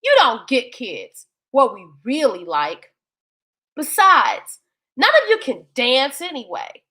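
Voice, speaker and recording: A woman's voice: high voice, huge pitch swings, slow pace, bright, forceful tone, exaggerated voice. A woman voicing cheeky, defensive young brothers in a storybook, whiny and taunting.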